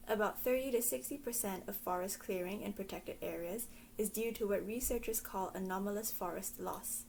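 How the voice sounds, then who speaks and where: A woman from Singapore giving a scripted explanatory talk in a measured way. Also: medium pitch, a little mellow, a little hiss or hum, poor audio. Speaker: presenter. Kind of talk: scripted educational narration.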